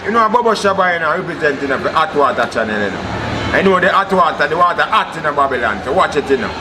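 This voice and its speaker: A man's voice: on the high side, big pitch swings, fast. A man with a Jamaican accent giving an impromptu address to camera, circling back on repeated catchphrases in a chanting cadence. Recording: noisy outdoor street with vehicles.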